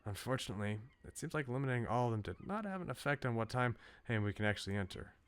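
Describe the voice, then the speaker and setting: A man voicing an earnest, bookish researcher from a visual novel in a measured, formal, serious way. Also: mid-range voice, animated, clear, resonant tone, close to an everyday voice. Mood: hushed, serious.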